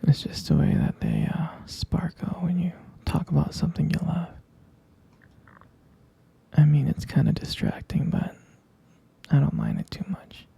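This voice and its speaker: A man playing a devoted, smitten boyfriend in an intimate ASMR roleplay, speaking in a breathy, husky whisper, hesitant and tenderly repeating his phrases. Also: a little high, wildly swooping pitch, measured pace, pauses often, dark tone, no room sound, heavily acted. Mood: adoring, playful.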